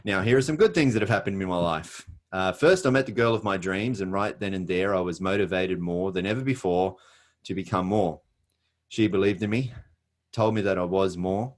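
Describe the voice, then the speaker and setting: A middle-aged Australian man giving an earnest motivational presentation, pausing often with fillers and restarts. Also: sits low.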